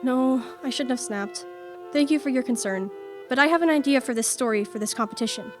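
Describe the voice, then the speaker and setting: A woman's voice: high-pitched, a little fast, over music, close to an everyday voice. A woman playing a grieving, determined young writer in a radio play, earnest and formal. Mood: defensive.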